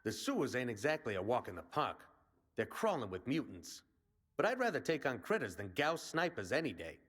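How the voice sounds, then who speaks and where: A man's voice: medium pitch, big pitch swings, a little fast, a bit bright. An actor playing a brash male resistance commander in a video game, rallying and plain-spoken like a soldier. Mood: confident briefing.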